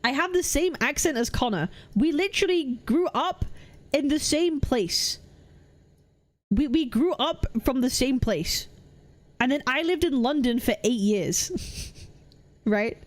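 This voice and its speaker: Animated Welsh woman streamer, a touch high. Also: a little slow, long pauses. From Wales. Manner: animated and defensive, stammers on restarts. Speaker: VTuber streamer. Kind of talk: casual live-stream chat reading viewer comments.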